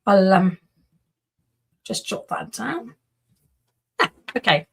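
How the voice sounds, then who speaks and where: Brief: female co-host, hoarse voice, chatty and agreeable, relays viewer questions. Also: mid-range voice, expressive, takes it slowly. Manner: warm, agreeable, often laughs and affirms. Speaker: co-host reading audience questions. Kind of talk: casual conversational Q&A.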